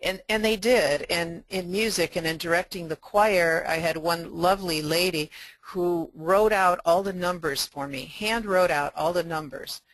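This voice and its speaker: A woman's voice: fairly low pitch, a little slow, no echo, poor audio. A woman speaking as a panelist in a church webinar, telling reflective stories about serving in parishes. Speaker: webinar panelist, catechetical leader. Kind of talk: webinar discussion.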